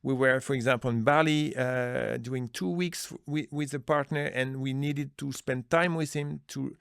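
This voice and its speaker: Earnest man with a gravelly voice. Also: mid-range voice, fairly even intonation, measured pace, dry recording, clean studio sound. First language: Dutch. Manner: earnest, searching for words, many restarts. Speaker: business manager, interview guest. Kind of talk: conversational interview answers.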